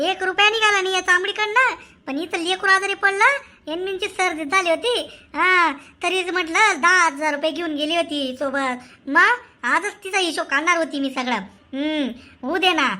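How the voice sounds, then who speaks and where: A woman's voice: extremely high pitch, sharp tone. A woman performing cartoon comedy dialogue in a scolding, exclamatory, theatrical way. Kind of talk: animated comedy dialogue in colloquial Marathi.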